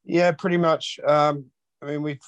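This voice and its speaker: A man's voice: fairly high pitch, level tone, unhurried, clean tone, no echo, muffled recording. A laid-back man from London chatting casually as an interview guest. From London, United Kingdom. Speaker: interview guest, rock bassist. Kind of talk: casual chat.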